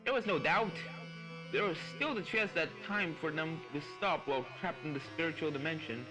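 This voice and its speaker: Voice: medium pitch, a little fast, natural voice. Narrator as a helpful male professor pony, music behind. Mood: musing. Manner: instructive, chatty, encouraging. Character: Professor Smart Spirit, calm helpful male pony scientist. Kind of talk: fan fiction storybook voice.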